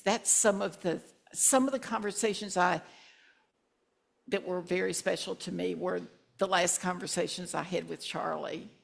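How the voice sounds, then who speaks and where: Slow-talking older woman minister. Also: mid-range voice, gravelly. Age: 60s. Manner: slow, warm-humored reminiscing with asides. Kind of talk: reflective sermon with congregation sharing. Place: church sanctuary.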